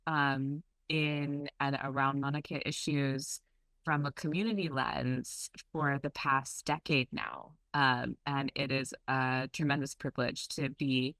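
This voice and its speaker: A woman from Hawaii speaks over a video call as she presents to a conference. Her voice is bright and close, with little room sound. She talks earnestly, pausing on frequent ums. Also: deep voice, dry recording. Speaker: community relations presenter. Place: outdoors at home.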